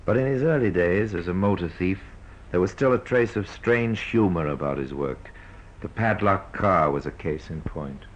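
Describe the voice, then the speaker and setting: A man acting as the grave, wry narrator of an old-time radio crime drama, speaking in measured storytelling style. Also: sits low, slight background noise, close to an everyday voice. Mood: wry, ominous. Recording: dull old radio recording.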